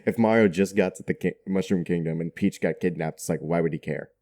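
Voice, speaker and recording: Low-voiced man in casual, giggly podcast chat. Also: fast talker, a little mellow. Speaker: podcast guest or co-host.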